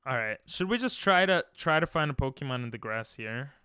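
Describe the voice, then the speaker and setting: A man's voice: mid-range voice, expressive, moderate pace, sharp tone, clean tone, no room sound, studio quality. A man whose first language is Hebrew, chatting casually on a game stream, reading chat aloud and breaking into silly freestyle rhymes. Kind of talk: casual live-stream chat while gaming.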